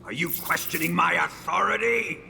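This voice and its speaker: An actor playing a domineering, furious military colonel in a video game cutscene, commanding and menacing, with explosive bellowing. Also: male voice, a little high, normal speed, creaky, in a large hall, a little hiss or hum, exaggerated voice. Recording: loud music under the voice.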